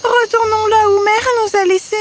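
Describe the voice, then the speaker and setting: Very high, childlike little girl played by a woman. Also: steady tone, normal speed. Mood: worried. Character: anxious young girl lost in the forest. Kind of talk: fairy tale cartoon voice acting.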